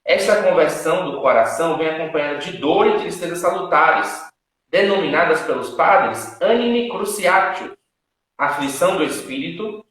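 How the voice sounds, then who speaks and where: A fairly high-voiced man hosts a home live stream. He talks in a casual, emphatic teaching way, often checking in with his listeners. His voice rings through a very echoey room. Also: lively intonation, normal speed, fairly bright tone. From Salvador, Bahia, Brazil. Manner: chatty, repeats tag questions, emphatic. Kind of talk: casual teaching chat with viewers.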